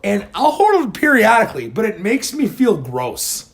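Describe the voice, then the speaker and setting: A man chatting casually in a garage, sarcastic and animated, with a booming, projecting voice, speaking into a phone he holds away from his face. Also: moderate pace, creaky. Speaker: podcast guest. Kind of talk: casual chat. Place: home garage. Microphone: phone held away from the face.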